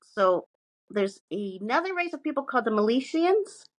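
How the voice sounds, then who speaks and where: A woman's voice: on the high side, big pitch swings, normal speed, no echo. A woman co-hosting a podcast, partly reading from notes and chatting casually, with sweary, self-mocking asides. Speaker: podcast co-host presenting research.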